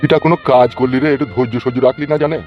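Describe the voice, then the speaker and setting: A man's voice: mid-range voice, steady tone, very fast, highs cut off, soft background music, natural voice. A man voicing a blustering, bewildered middle-aged police officer in a cartoon. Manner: blustering questioning.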